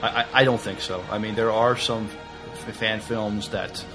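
A man with a lowish voice chats as a podcast co-host. He passes on news casually and haltingly, pausing and starting again, with music clearly audible behind him. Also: steady tone. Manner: halting, hesitant delivery.

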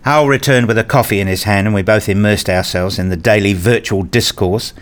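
Weary irritable male academic, acted by a man, lowish pitch, dry, sardonic, first-person radio play narration. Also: brisk, natural voice.

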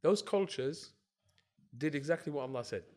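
A man explaining his view as a religious scholar in a discussion, in short, emphatic, deliberate phrases. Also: on the high side, animated, darkish tone. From Britain.

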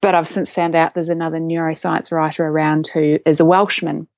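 A woman's voice: low-pitched, flat delivery, a little fast, darkish tone, dry recording, clean studio sound. A woman in her forties with a New Zealand accent, a guest chatting eagerly in an interview with many ums and restarts. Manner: enthusiastic, with frequent restarts and ums. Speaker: guest, neuroscientist and science communicator. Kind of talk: conversational interview.

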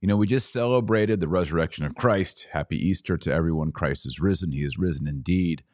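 A man's voice: low voice, fairly quick, a little mellow, no room sound, studio quality. An American man hosting a podcast, giving news commentary in a conversational, emphatic manner. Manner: conversational, emphatic, rhetorical questions. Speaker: podcast host. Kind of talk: news commentary to a live online audience, reading out quotes.

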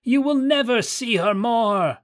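A man voicing a wicked old witch who scolds and jeers in a shrill, raised voice. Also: lively intonation, a little slow. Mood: mocking.

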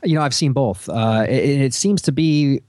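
A man's voice: mid-range voice, moderate pace, darkish tone, no echo, clean studio sound. A man on a podcast panel, explaining things casually with easygoing, folksy phrasing.

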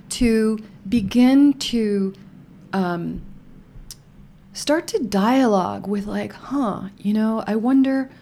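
A woman speaking as an interview guest in a relaxed, reflective way, with many fillers and restarts. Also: mid-range voice. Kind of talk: casual conversational interview.

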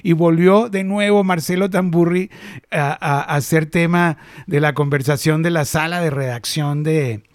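A man's voice: fairly high pitch, unhurried, no room sound, studio quality. A middle-aged Venezuelan man hosting a casual industry chat, talking animatedly with many restarts. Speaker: host.

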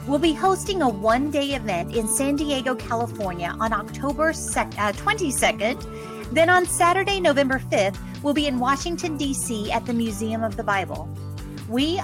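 A woman speaking as a podcast host, introducing the show and interviewing a guest. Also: high-pitched.

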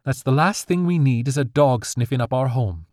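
A man's voice: mid-range voice, animated, moderate pace, darkish tone, no echo, clean studio sound, voice pushed up, close to an everyday voice. A man voicing a strict fairy mother, speaking in a stern, emphatic, scolding way.

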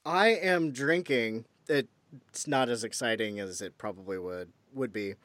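A man's voice: medium pitch, measured pace, a bit bright, dry recording. A man hosting a podcast, chatting casually with dry, wry humor. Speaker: podcast host. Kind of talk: casual chat.

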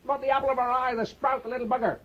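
A man's voice: extremely high pitch, little pitch movement, very fast, dull audio. An actor playing a sardonic middle-aged academic husband in a stage drama, drily protesting and warning his wife.

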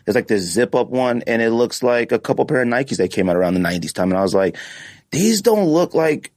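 A man's voice: mid-range voice, animated, fairly quick, darkish tone, no echo, clean studio sound. A man hosting a casual sneaker podcast, talking in a slangy, emphatic way and acting out quoted reactions. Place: podcast studio, indoors.

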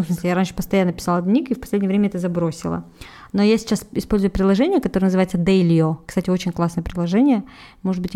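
A woman co-hosting a casual podcast, upbeat and quick to approve the others. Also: medium pitch, dark tone. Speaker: podcast co-host.

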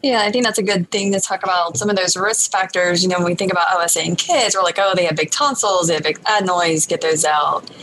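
A woman's voice: on the low side, fairly even intonation, fast, hardly any pauses, a bit bright, slight background noise, low-quality recording. A woman researcher talking about swallowing research in an easygoing, friendly way. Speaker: speech pathology researcher. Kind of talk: academic discussion.

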